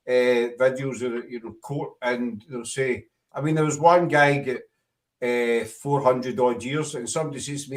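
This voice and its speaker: Rambling Scottish man, mic far off. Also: a little high. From Scotland. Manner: rambling, self-correcting. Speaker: host. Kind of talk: casual panel chat.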